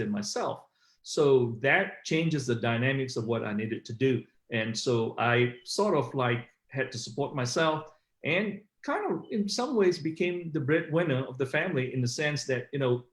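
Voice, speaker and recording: A middle-aged man, telling his personal story conversationally as an interview guest. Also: mid-range voice. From Malaysia. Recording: roomy, echoey online call.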